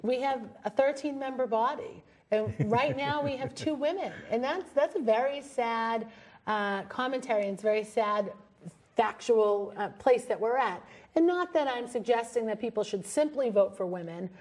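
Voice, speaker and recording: A woman's voice: on the high side, normal speed, darkish tone. A woman in her forties answering interview questions as a city council candidate, speaking earnestly and fluently in an upbeat campaign manner. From United States.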